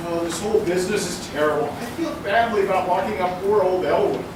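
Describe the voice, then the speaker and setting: A man's voice: sits high, fast, natural voice. A man playing a blustery, exasperated older family judge in a live radio-play comedy, emphatic and huffing. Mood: flustered. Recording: echoey hall, far mic, noisy.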